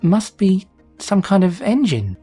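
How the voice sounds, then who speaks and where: A man voicing an eager, studious female pony princess in a storybook reading, brisk and self-assured. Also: sits high, big pitch swings, warm tone, slightly rough, soft background music, voice pushed up, full character voice. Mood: curious, musing.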